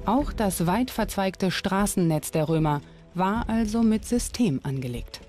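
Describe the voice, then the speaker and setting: Woman doing scripted documentary voiceover, medium pitch, bit of music behind her. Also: lively intonation, measured pace. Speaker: documentary narrator. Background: background music.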